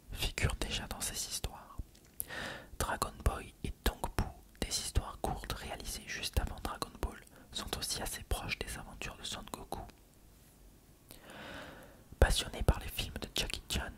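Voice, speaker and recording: ASMR reader, fully whispered and breathy, for read-aloud factual text. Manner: reading aloud. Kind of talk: whispered ASMR reading of an encyclopedia article.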